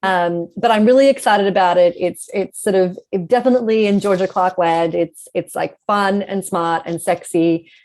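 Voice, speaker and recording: A woman's voice: medium pitch, steady tone, normal speed, few pauses, darkish tone. A woman speaking as a guest author in a casual interview, explaining things in a reflective way.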